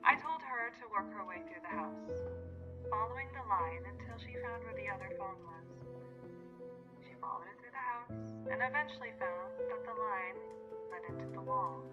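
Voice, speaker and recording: An actress playing an eerily friendly woman phone operator in a radio play, speaking in a measured, politely sweet and insistent way. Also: mid-range voice, muffled recording, lightly acted. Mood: friendly, storytelling. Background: music.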